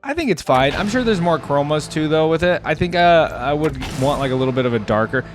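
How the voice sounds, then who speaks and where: A man's voice: on the high side, expressive, fairly quick, soft background music. A man doing casual reaction commentary as a video host, musing and thinking aloud in an informal way.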